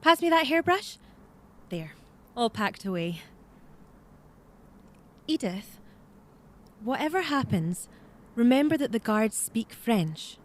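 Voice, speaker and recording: A woman's voice: a little high, very animated. Composed, practical woman. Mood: practical, cautioning. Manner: measured, practical, cautioning. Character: Day Day, discreet, composed female secretary and Resistance helper. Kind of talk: audio drama acting.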